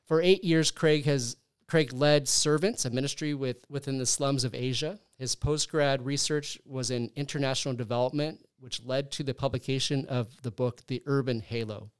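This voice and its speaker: A man's voice: mid-range voice, fairly even intonation, moderate pace, hardly any pauses, smooth. A man hosting a faith-based podcast interview from a university studio, friendly and chatty, with fillers and easy laughter. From Southern California. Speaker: podcast host.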